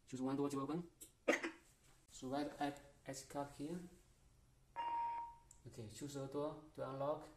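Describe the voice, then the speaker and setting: A man giving a casual step-by-step product demonstration in short, halting phrases, in a small room. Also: mid-range voice, noisy background. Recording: music behind the voice. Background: music.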